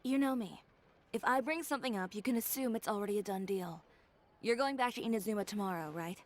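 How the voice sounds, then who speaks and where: An actress playing a confident, blunt, haughty young fashion designer in a video game, speaking with cool, dry self-assurance. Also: female voice, mid-range voice, quick pace, natural voice. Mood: assured, protective.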